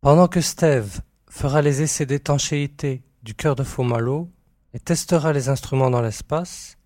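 A confident space hunter in a sci-fi audio drama, played by an actor. His voice is mellow and mid-pitched, recorded very dry, and he talks in a breezy, jovial, self-assured way. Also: no room sound, natural voice.

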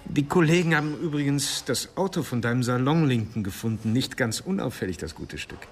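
A man's voice: medium pitch, expressive, fairly quick, a little hiss or hum, natural voice. A man playing a practical, teasing police colleague who talks in a wry, matter-of-fact way in a radio crime drama.

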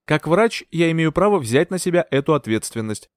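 A man playing a calm, cold doctor. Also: a little high, brisk, no echo, studio quality, pitched above the performer's own voice. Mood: cool. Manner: calm, smooth, condescending. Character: calm, cold-mannered male doctor, sly.

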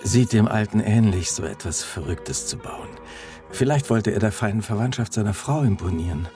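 A dry, factual police inspector in a radio crime drama. His voice is low and creaky, with music playing behind him. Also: lively intonation, moderate pace, warm tone. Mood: wry. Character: matter-of-fact British police inspector on a case. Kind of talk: radio play acting.

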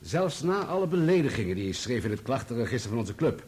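A man's voice: medium pitch, sing-song, quick pace, darkish tone, slightly rough. A man playing a firm, self-righteous journalist giving evidence in a radio courtroom drama, formal and assured. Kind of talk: radio play courtroom acting.

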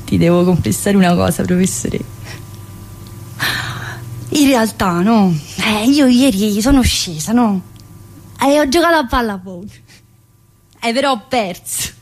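A woman's voice: medium pitch, expressive, unhurried, long pauses. An actress playing a talkative, teasing, wounded woman prisoner in a theatrical monologue, with a southern Italian accent and a rambling, colloquial manner. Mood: confiding. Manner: rambling, colloquial, full of tag questions. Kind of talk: theatrical monologue in colloquial dialect-tinged speech.

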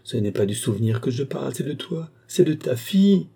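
A man's voice: medium pitch, very animated, fairly quick, gravelly, warm tone, slightly rough, pitched above the performer's own voice, full character voice. A man voicing a passionate, anguished adulterous wife in a storybook, pleading in a desperate, melodramatic way. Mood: tormented. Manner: melodramatic, imploring.

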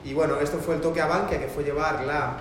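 A man's voice: a little high, fairly even intonation, fast talker. Guy w a mixed argentine-ish spanish accent giving a workshop lecture, rambles and restarts a lot, big echoey room, noisy. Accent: mixed, part Argentine-sounding Spanish. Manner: loose, rambling, with many restarts. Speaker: workshop instructor. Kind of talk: classroom lecture.

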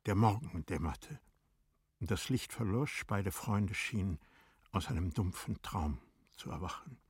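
A man reads scripted literary narration for a radio drama. His lowish, husky voice moves slowly and solemnly, recorded very dry and close. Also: expressive, no echo. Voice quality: low, husky. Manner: solemn, deliberate, hushed at times.

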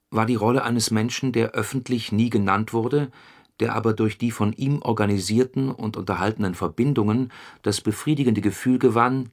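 A man's voice: fairly low pitch, vocal fry. An actor playing a sober, analytical political functionary reciting his memoir in a radio play. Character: sober communist functionary recollecting a comrade in secret work.

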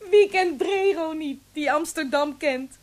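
A high-pitched, saucy tavern keeper in a radio drama. She is shrewd and self-assured, with a quick-witted, teasing delivery. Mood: teasing, amused. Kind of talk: Dutch radio play acting.

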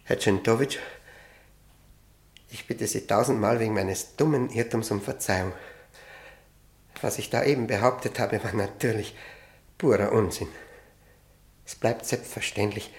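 A man playing a highly strung, impatient doctor gripped by chess mania in a radio drama, agitated and repeating himself. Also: measured pace, pauses often. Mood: subdued, ashamed. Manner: agitated, stammering, repeating words.

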